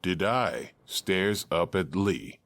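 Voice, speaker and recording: A man's voice: sits low, expressive, slow pace, a bit bright, no room sound. A man narrating the stage directions of an audio drama in a detached, matter-of-fact manner.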